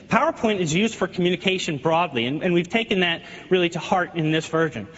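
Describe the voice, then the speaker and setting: A man giving a live software demo on a keynote stage, eager and restarting words as he goes. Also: high voice, a little fast. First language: not French. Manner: eager, repeats words while demoing. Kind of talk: live product demo presentation. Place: large conference hall. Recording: lo-fi hall recording with crowd.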